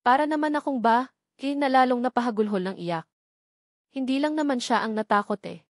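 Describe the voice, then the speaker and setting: A woman's voice: on the high side, a little fast, no room sound, studio quality. A woman reading a scripted first-person romance novel as its narrator. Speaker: story narrator.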